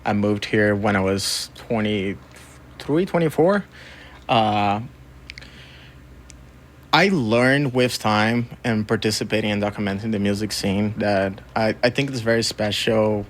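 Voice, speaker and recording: Guy from brazil chatting in an interview, kinda low voice w lots of creak. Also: fairly bright tone. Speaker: interview guest, record label co-founder and music photographer. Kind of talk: casual interview talk.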